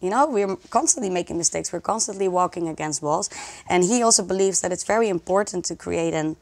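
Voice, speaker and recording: A woman's voice: on the low side, fast talker, darkish tone. A woman speaking as a guest in a conversational interview, explaining things with enthusiasm. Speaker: interview guest, social project community organizer.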